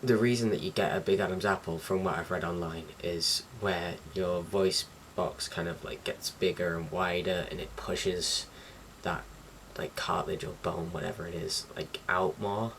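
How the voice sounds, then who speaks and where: A man's voice: low-pitched, fairly even intonation, normal speed, vocal fry, hardly any pauses, fairly bright tone. A young man talking casually to camera as a vlogger, in an informal, slightly hesitant and rambling way. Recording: distant mic with faint background noise.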